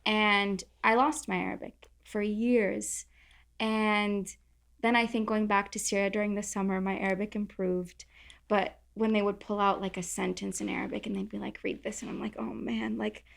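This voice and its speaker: A woman's voice: mid-range voice, little pitch movement, moderate pace, vocal fry. A young adult woman from Southern California, chatting casually and with self-deprecating humor as a guest in a roundtable talk. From Orange County, California. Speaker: content creator, podcast guest.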